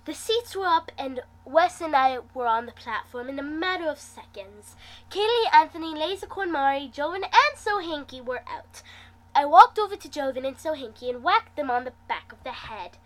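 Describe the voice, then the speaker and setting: A woman reading fan fiction aloud in an expressive, dramatic manner, acting out the dialogue as she goes. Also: high-pitched, normal speed, low-quality recording. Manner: animated, dramatic delivery. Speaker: amateur fan fiction reader, host.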